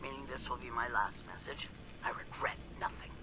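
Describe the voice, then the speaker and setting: An actress playing an arrogant, ruthless, zealous scientist in a video game audio log, with theatrical, deliberate enunciation. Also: female voice, extremely low pitch, noisy background. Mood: defiant, indignant.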